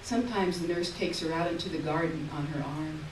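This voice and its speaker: A woman reading literary fiction aloud on stage in a lyrical, measured way, heard from far off in an echoey hall. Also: sits low, darkish tone, a little hiss or hum. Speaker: reader. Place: theater stage.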